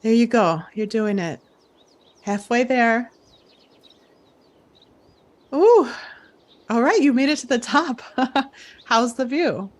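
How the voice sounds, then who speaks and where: A woman hosting as a camp director, coaching and storytelling in short, deliberate, encouraging phrases. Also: on the high side, animated. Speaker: host, playing a camp director guiding campers. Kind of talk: guided imagery coaching, storytelling.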